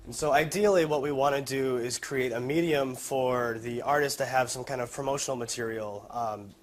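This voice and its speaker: A young man giving an informal student presentation of a project, speaking a little hesitantly with frequent pauses. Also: medium pitch. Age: young adult.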